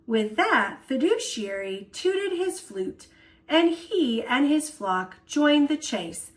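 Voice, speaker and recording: A woman storyteller reading a children's picture book aloud in an expressive style. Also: high voice, slow pace.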